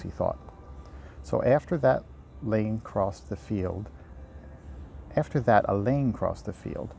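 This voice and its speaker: A man with a lowish, creaky voice reads a children's story aloud in an informal, homemade style. He sometimes trips over a phrase and takes it again. Faint outdoor ambience sits behind him. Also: mellow, slight background noise. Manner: unpolished, stumbles and rereads lines. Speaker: amateur audiobook reader and host. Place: outdoors by a river.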